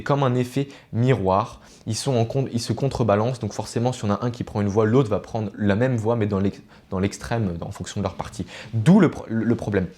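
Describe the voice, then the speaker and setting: A man with a fairly low voice speaks as an online video essayist. He explains things in an earnest, teacherly way, and his informal delivery wanders into asides and self-corrections. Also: a little mellow. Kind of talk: informal explanatory political commentary to viewers.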